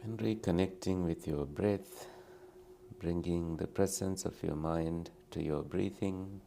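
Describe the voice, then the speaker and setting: A man leading a guided meditation as a teacher, speaking gently and soothingly in short phrases with long pauses between them. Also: sits low, gravelly, a little hiss or hum.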